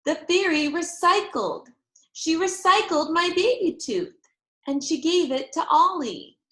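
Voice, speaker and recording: A woman voicing an excitable, talkative little girl from a storybook, bubbly and wide-eyed, with emphatic, exclamatory childlike delivery. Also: very high-pitched, a little slow, reverberant, pitched above the performer's own voice, full character voice. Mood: thrilled.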